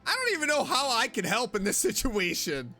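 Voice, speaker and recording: A woman's voice: fairly high pitch, huge pitch swings, fairly quick, music in the background. A woman doing casual, chatty let's-play commentary as a game streamer, reacting to what is on screen.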